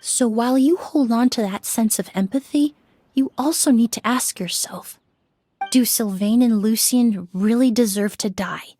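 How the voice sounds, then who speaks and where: A woman voicing a composed, analytical investigator in a video game, with a measured, reflective and articulate delivery. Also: on the high side, mellow, a little hiss or hum, natural voice. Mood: calm, solemn. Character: composed, analytical woman, crime scene cleaner turned investigator.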